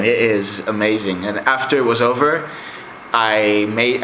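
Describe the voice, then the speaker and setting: A man's voice: mid-range voice, pushed and bright, slight background noise. A young man whose first language is Greek gives a casual, rambling review of his game collection, with many fillers. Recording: echoey room.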